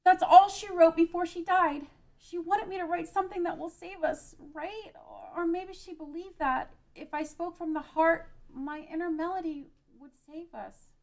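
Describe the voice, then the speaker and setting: A woman's voice: very high-pitched, fairly even intonation, normal speed, darkish tone. A woman playing a brave, earnest young peasant girl pleading for peace in an amateur fantasy table read.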